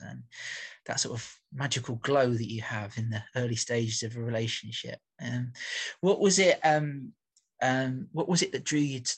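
A man's voice: medium pitch, husky. A man hosting a reflective music interview, phrasing his thoughts and questions hesitantly and thoughtfully. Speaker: interviewer, host.

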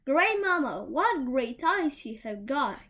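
A woman's voice: sits high, sing-song, slow pace, a bit bright, voice pushed up, full character voice. A woman voicing an innocent, polite little country girl in a fairy tale, with a sing-song sense of wonder. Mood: amazed.

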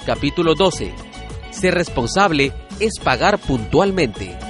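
A man's voice: a little high, very animated, slow. A man announcing a radio soap in a scripted style, with music behind him. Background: clear music.

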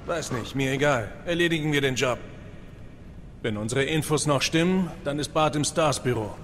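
A man playing a level-headed, no-nonsense soldier in a horror audio drama, brisk and matter-of-fact. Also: mid-range voice, a bit bright, long reverb tail, a little hiss or hum, natural voice. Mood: businesslike. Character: no-nonsense male soldier partner, teasing but level-headed. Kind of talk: dramatic audio drama acting of a video game story.

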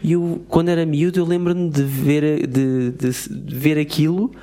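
A man's voice: on the high side, steady tone, a little slow, dark tone. A man with a European Portuguese accent co-hosting a podcast, cutting in with jokes and wry remarks. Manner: joking, wry interjections. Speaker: podcast co-host. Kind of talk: casual podcast chat.

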